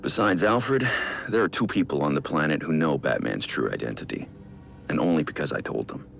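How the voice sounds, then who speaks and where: An actor playing a brooding billionaire vigilante, recording a measured, reflective personal audio log in a game. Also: male voice, animated, muffled recording, exaggerated voice. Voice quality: low gravelly voice. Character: brooding billionaire vigilante, man in his prime.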